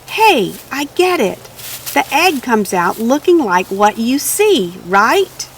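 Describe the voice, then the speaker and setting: A woman's voice: fairly high pitch, sing-song, slow pace, a little hiss or hum, heavily acted, rough recording. A woman voicing an enthusiastic, bossy storybook farm woman who speaks in an emphatic, exclaiming way. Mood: delighted. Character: eager, bossy, enthusiastic woman hen farmer.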